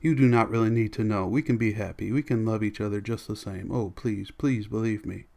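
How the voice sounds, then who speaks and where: A man's voice: medium pitch, steady tone, moderate pace, lightly acted. Anguished wife begging, played by a guy narrator, frantic broken wailing, audiobook style. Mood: desperate. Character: anguished, desperate young wife pleading.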